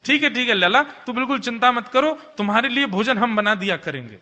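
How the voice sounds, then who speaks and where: A man's voice: extremely high pitch, animated, fairly quick, pushed and bright, exaggerated voice. A man voicing a doting, flustered housewife in a devotional story, fond and fussing in a folksy way. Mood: fond, reassuring. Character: doting, flustered motherly housewife feeding a boy. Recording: long hall reverb.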